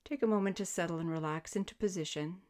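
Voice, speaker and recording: Low-voiced woman guiding a meditation. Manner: soothing, gentle, measured invitations with pauses. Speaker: yoga nidra meditation teacher. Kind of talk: guided sleep meditation, spoken instruction.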